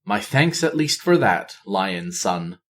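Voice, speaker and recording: Storybook elven queen, voiced by a man: proud, icy, murmuring, vengeful under the calm, unhurried. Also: fairly low pitch, voice pushed down. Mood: cold, restrained. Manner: murmuring, solemn. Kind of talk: dramatic storybook character voice.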